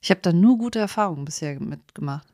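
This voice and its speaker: A woman's voice: on the low side, expressive, fast, dark tone, dry recording, clean studio sound. A woman chatting casually as a podcast co-host, playful and self-deprecating. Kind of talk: casual chat.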